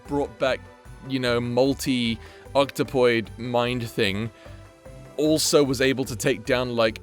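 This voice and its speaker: A man's voice: medium pitch, a bit bright. A man giving casual, off-the-cuff commentary, thinking aloud and second-guessing himself before landing on blunt verdicts. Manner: mild swearing. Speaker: audio creator ranking his fictional characters in matchups.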